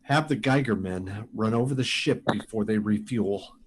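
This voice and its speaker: A man's voice: lowish voice, normal speed, a little hiss or hum, close to an everyday voice, low-quality recording. An actor playing a shaken male test pilot in a radio drama, urgent and insistent. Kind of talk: live radio drama acting.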